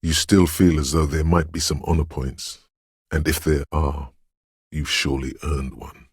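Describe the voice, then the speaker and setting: Video game inner voice of intuition: extremely low, husky and gravelly, brooding, dreamlike and ominous. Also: male voice, fairly even intonation, no echo, studio quality, full character voice. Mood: ominous, musing. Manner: slow, hushed, ominous, dreamlike, deliberate. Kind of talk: scripted video game narration in second person.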